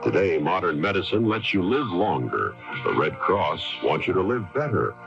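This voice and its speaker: A man's voice: low voice, big pitch swings, normal speed, gravelly. A man reading a scripted public service announcement as a radio announcer. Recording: muffled 1980s AM radio tape, music.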